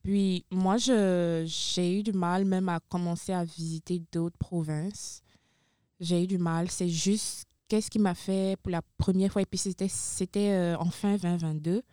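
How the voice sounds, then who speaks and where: Mellow-voiced woman from Benin, chatty. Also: on the low side, fairly even intonation, unhurried, clear, resonant tone, dry recording, clean studio sound. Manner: chatty, frequent hesitations and self-corrections. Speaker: guest, university international student services supervisor. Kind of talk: casual radio interview.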